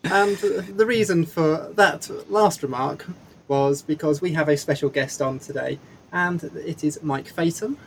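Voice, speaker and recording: A man hosting a casual podcast, breezy and quick with a joke. There is a faint hum behind his voice. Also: fairly high pitch, gravelly. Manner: breezy, joking, quick asides. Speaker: podcast host.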